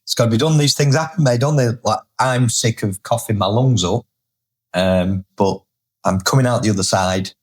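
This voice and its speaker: A man, croaky from a cold, co-hosting a casual chat show with a wry, teasing manner. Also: fairly low pitch, normal speed, darkish tone. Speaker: podcast co-host.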